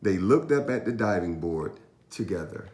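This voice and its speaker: A man reading a children's story aloud with expressive, animated narration and drawn-out emphasis. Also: low voice, roomy sound, a little hiss or hum. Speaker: storytime reader.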